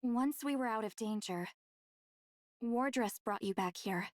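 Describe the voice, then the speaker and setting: Woman acting a calm, composed caretaker, dry sound. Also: fairly high pitch, measured pace, dry recording, lightly acted. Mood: calm, helpful. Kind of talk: anime game dub acting.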